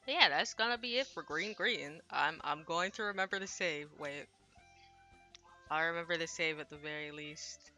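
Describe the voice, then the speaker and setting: A woman with a very bright, sharp voice set a little low talks casually as a game streamer. She rambles along, putting herself down and apologizing, speaking into a headset over game music. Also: moderate pace. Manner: rambling, self-deprecating, apologetic. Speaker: streamer translating a Japanese visual novel. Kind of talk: casual livestream chat.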